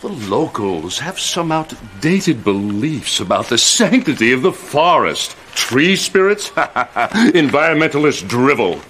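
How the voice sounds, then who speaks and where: Scheming middle-aged chancellor, oily, theatrical, bright forceful voice, highly animated pitch, comic game cutscene. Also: male voice, slight background noise. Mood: scornful. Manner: smug, theatrical, drawn-out insinuating delivery.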